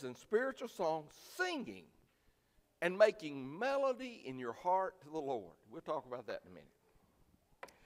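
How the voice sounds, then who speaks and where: A man preaching a sermon in a folksy, plainspoken, emphatic way, with a loud voice. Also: high voice. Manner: folksy, plainspoken, emphatic, swinging from quiet asides to loud exhortation. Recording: reverberant hall.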